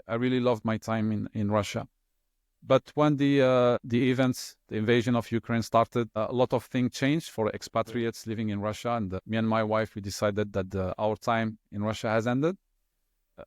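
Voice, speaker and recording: A middle-aged man whose first language is French, speaking as an interview guest in a relaxed, hesitant way with many fillers and restarts. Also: lowish voice, a little fast, no echo, studio quality. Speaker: interview guest, LNG specialist. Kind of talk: conversational interview.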